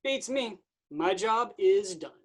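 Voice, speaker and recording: A woman's voice: mid-range voice, expressive, takes it slowly. A woman playing a flippant, laid-back male messiah who talks like a slangy dude, in a staged reading of a comic play. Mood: breezy, glib.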